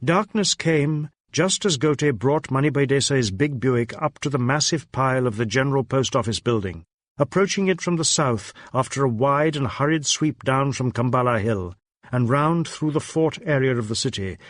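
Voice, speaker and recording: A man reading a crime novel as scripted dramatic narration, in a measured, literary storytelling manner. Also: medium pitch, animated, dry recording, clean studio sound. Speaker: audiobook narrator.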